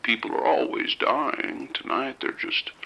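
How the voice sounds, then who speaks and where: An actor playing a sinister, knowing male presence that taunts in a slow, gravelly whisper. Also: mid-range voice, very animated, pushed and bright, heavily acted, low-quality recording. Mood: taunting. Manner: mocking, teasing riddles. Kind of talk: audio drama acting.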